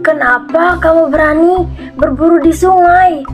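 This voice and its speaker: A woman's voice: very high voice, a little slow, phone-quality sound, over music, voice pushed up. A woman voicing a proud crocodile guarding its territory in a children's fable. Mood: indignant.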